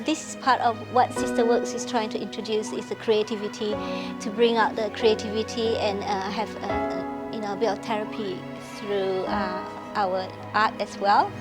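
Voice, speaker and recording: Casual higher-voiced woman coordinator. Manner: casual, filler-heavy. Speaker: hub coordinator. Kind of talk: casual explanation. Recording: loud music behind the voice.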